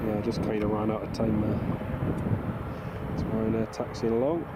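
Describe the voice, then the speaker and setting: A man's voice: on the low side, little pitch movement, moderate pace. A man with a stuffy cold, chatting casually to livestream viewers and greeting them by name. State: bunged up from a cold. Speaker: livestream host. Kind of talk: casual live commentary to viewers. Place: outdoors at an airport. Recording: loud outdoor aircraft and traffic noise. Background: aircraft and vehicle noise.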